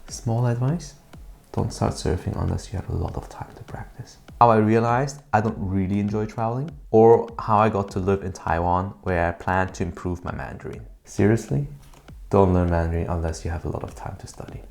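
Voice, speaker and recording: Low gravelly man narrating a personal vlog. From Switzerland. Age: 30s. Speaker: YouTuber.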